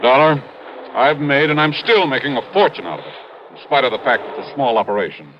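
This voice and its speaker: An American actor playing a blustering, big-hearted older mine owner in a 1950s radio drama, gruff, emphatic and booming. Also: male voice, lively intonation, a little fast, lightly acted. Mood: agitated, insistent. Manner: emphatic, gruff, swinging from bellowing to grave. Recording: muffled, noisy old radio recording.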